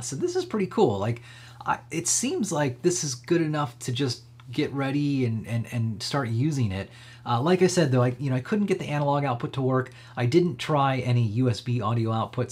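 A man with a slightly husky voice hosting a casual live tech demo, thinking aloud in a rambling, conversational way. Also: mid-range voice, a little mellow. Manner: conversational, rambling, frequent hedging asides. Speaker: livestream host demonstrating electronics projects.

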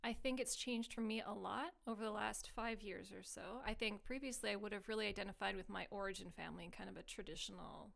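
A woman's voice: medium pitch, steady tone, fast talker, vocal fry, hardly any pauses, a bit bright. A woman in her thirties from the Montreal area, a podcast guest, talking in a reflective, casual way. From Canada (Montreal area). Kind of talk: casual conversation.

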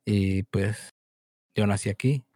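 A man in his early twenties with a Mexican Spanish accent, born in the United States and raised in Mexico, chatting as a guest in a relaxed, hesitant, slangy way with lots of fillers. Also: lowish voice, takes it slowly, no echo, studio quality. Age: 20s. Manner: laid-back, hesitant, slangy, many fillers. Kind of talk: casual chat.

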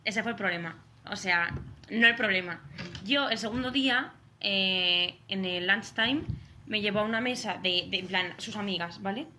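A woman's voice: medium pitch, normal speed, noisy background. A young woman from Spain with a Spanish accent, chatting as a podcast guest, full of fillers and restarts. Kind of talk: casual chat.

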